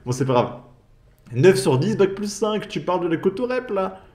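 Guy doing sarcastic voice-over on clips, kinda high voice, mocking tone. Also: very animated, normal speed, darkish tone. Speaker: commentary channel host.

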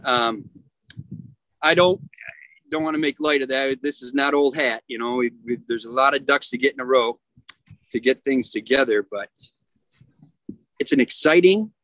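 A middle-aged man talks casually over a video call from outdoors, hesitating and restarting as he hunts for words. His voice sits at an average pitch and sounds close and dry, with little room around it. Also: moderate pace, long pauses, fairly bright tone, dry recording, low-quality recording. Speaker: yacht club commodore. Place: outdoors at a marina.

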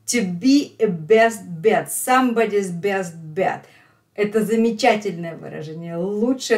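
A woman's voice: medium pitch, a little mellow. A middle-aged woman with a Russian accent teaching English, explaining line by line and repeating phrases emphatically for learners. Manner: slow, emphatic repetition for learners. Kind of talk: lesson explaining a phone call line by line.

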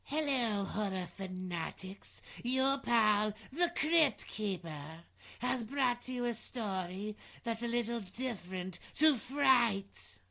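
A creaky, high-voiced ghoul hosts a horror parody cartoon. The delivery is slow, gleeful and theatrical, savouring each morbid pun. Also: female voice, lively intonation, pushed and bright, exaggerated voice. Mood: gleefully macabre. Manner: theatrical, punning.

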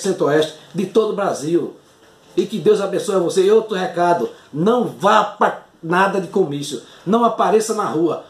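A man's voice: high voice, echoey room. An older man from Northeast Brazil with a Northeastern Brazilian accent, a doctor making an earnest, pleading appeal straight to camera from a small home office. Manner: earnest, pleading, gestures broadly with both hands. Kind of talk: impassioned direct-to-camera appeal.

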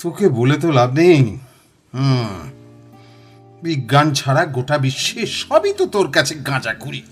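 An actor playing a jovial young ghost hunter in an audio drama, with drawn-out theatrical delivery. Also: male voice, mid-range voice, big pitch swings, slightly rough, soft background music. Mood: defensive. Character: jovial, food-loving ghost-hunting young man.

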